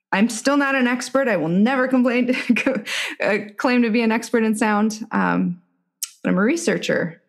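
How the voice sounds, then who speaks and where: A woman's voice: medium pitch, gravelly. Woman podcast host, casual and talkative, mid-range voice. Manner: chatty, asks questions with brief affirmations. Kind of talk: casual interview chat. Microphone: home podcast mic.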